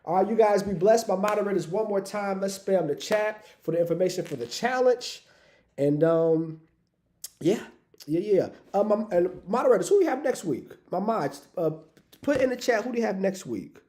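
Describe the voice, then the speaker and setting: Upbeat man with a raspy, stuffy voice. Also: high voice, animated, moderate pace, mellow. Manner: upbeat, hypes the chat, says "y'all". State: stuffy nose from a cold. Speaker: livestream host. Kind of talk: casual livestream talk.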